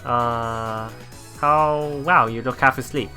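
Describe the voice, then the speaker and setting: A man reading a visual novel aloud as a let's play streamer, haltingly, with repeats and self-corrections. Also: mid-range voice, fairly even intonation. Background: soft game music.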